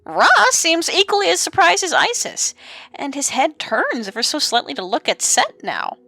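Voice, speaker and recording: A woman's voice: sits high, very animated, moderate pace, pushed and bright, no room sound. A woman giving dramatic scripted narration as the narrator of an audio drama.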